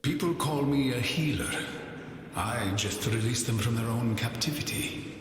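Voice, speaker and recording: An actor playing a calm, sorrowful scientist-healer in a video game, speaking in a measured, formal, grave way. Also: male voice, mid-range voice, natural voice. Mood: solemn, philosophical. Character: calm, solemn male healer and scientist, gently sorrowful, philosophical. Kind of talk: scripted video game character voice lines. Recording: echoey long reverb, music behind.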